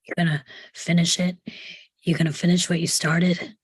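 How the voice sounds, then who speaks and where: Woman reading a wounded male agent, husky, lowish, grim, stubborn, dry sound, drama script. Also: little pitch movement, normal speed, no echo, rough recording. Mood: grim, resigned. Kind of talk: script table read.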